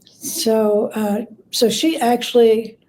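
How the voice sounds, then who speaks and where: A woman talking as an interview guest, telling stories in a halting, reflective way with repeated words. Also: fairly high pitch, steady tone, warm tone, rough recording. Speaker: guest author and biographer. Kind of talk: conversational interview storytelling.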